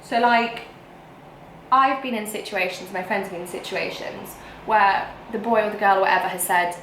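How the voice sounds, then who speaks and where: A woman's voice: mid-range voice, steady tone, normal speed, a bit bright, echoey room, a little hiss or hum, poor audio. Emphatic young woman vlogging. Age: young adult. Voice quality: whiny. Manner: emphatic and frank, with frequent fillers. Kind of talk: casual ranting to camera.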